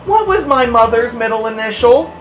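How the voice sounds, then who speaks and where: A woman's voice: fairly high pitch, animated, moderate pace, reverberant, highs cut off, soft background music, pitched above the performer's own voice, close to an everyday voice. A woman voicing tiny newborn female spiders in a storybook, eager, dainty and childlike. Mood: curious, eager. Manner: bright-eyed, eager, childlike. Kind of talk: children's storybook character reading.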